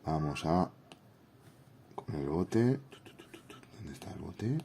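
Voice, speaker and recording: Low mellow guy from spain w castilian accent, stuffed up with a cold, friendly casual tutorial chat. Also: expressive, slow pace, gravelly, slight background noise. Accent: Castilian Spanish. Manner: informal, friendly, addresses viewers directly. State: congested with a cold. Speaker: YouTube host reviewing a drawing app.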